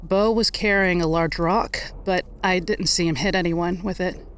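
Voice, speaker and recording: Actress as lowish-voiced firm, level-headed woman. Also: steady tone, normal speed, slight background noise, lightly acted. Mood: earnest. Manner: earnest, firm. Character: level-headed, insistent woman giving witness and guarding a friend. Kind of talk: naturalistic audio drama acting.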